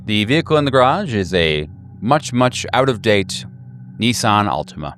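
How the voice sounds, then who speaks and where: A man's voice: on the low side, big pitch swings, moderate pace, fairly bright tone. A man running a tabletop horror game as game master, improvising narration in a casual way. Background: background music.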